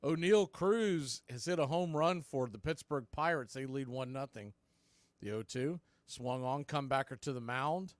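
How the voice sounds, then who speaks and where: A man doing live baseball play-by-play on radio, speaking in clipped phrases with pauses between calls. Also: mid-range voice, no room sound.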